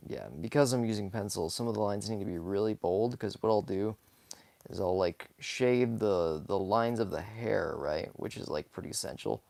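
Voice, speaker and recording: A hoarse young man talks casually while sketching live, rambling softly with many fillers and small laughs. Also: fairly low pitch, normal speed, no room sound. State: hoarse, scratchy from talking too much. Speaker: live-streaming sketch artist. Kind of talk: casual commentary while drawing.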